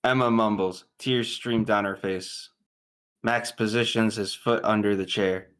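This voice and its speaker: A man giving scripted narration, reading screenplay stage directions in a measured, clipped way. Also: lowish voice, steady tone, a bit bright, clear, resonant tone.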